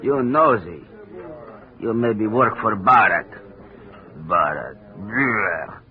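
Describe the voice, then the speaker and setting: An actor playing a blunt foreign steelworker who speaks clipped, broken English in a 1950s radio drama. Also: male voice, lowish voice, expressive, takes it slowly. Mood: gruff. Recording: tinny old radio broadcast.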